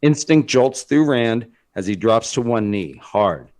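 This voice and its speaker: A man's voice: medium pitch, lively intonation, a little slow, a little mellow. A man reading scripted dramatic narration as the narrator of a screenplay.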